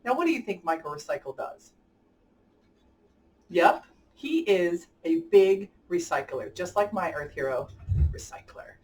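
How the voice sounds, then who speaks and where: A woman's voice: on the low side, lively intonation, a little slow, long pauses, a little mellow, slight background noise. A woman hosting story time for children, speaking enthusiastically and directly to young listeners as she chats and reads aloud. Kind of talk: picture-book read-aloud and chat for children. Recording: distant from the mic.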